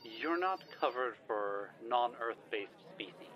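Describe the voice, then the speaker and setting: A man's voice: mid-range voice, expressive, slow pace, muffled recording, faint music behind. An actor playing a polite, flustered older male scientist in an audio drama, speaking formally with hesitant, wry phrasing. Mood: resigned. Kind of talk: audio drama phone-call acting.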